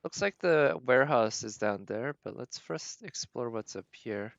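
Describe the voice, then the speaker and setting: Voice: medium pitch, expressive, unhurried, creaky, dry recording, muffled recording. A game livestream host giving casual live commentary, thinking aloud in a tentative, musing way.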